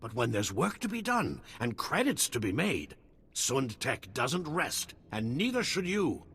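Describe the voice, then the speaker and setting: An actor playing a flamboyant, self-amused tech salesman in a video game, pitching theatrically with joking asides and dramatic pauses. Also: very animated, a bit bright, husky, full character voice. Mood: boastful pitching. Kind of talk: video game character acting.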